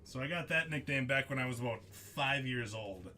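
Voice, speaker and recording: A man's voice: mid-range voice, moderate pace, bright, forceful tone, slight background noise. A young man hosting a live trading-card break stream, chatting casually with viewers by name, laughing often as he reads out the cards he pulls.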